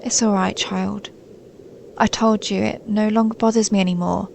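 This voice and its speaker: Casting: actress for an ancient snow maiden in audio roleplay; stoic, melancholy, soothing, even intonation, creaky voice. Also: female voice, medium pitch, slight background noise, close to an everyday voice. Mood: gentle, reflective. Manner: soothing, formal, measured, solemn. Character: centuries-old female snow maiden, gentle, stoic, melancholy guardian spirit. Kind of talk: scripted audio roleplay, intimate monologue to listener.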